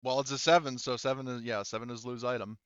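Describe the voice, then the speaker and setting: Smooth clear guy game mastering, chatting rules and narrating, kinda lo-fi mic. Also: mid-range voice, steady tone, fast talker, no echo. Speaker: game master of a tabletop roleplaying game. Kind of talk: casual rules talk and narration during a tabletop game.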